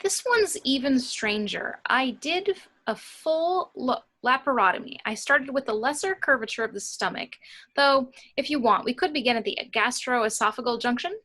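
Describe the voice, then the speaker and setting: Woman playing a bright, high-voiced flirty morgue doctor. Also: expressive, normal speed, heavily acted. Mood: clinical. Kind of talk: informal script table read.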